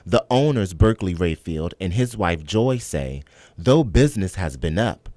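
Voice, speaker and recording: Male American news reporter, scripted narration, animated intonation, close dry sound. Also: deep voice, moderate pace, no echo.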